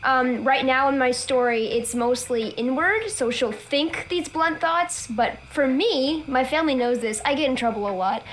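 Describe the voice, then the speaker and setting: High-voiced giggly woman. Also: normal speed, few pauses, a little hiss or hum, low-quality recording. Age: adult. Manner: blunt, giggly. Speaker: livestream co-host steering questions. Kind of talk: casual chat.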